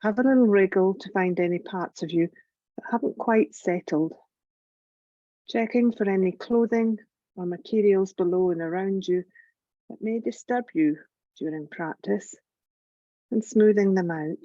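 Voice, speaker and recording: Soft mellow woman guiding meditation. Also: mid-range voice, dry recording, muffled recording. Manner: gentle, calm, soothing, measured with long pauses. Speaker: yoga nidra meditation teacher. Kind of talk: slow guided relaxation meditation narration.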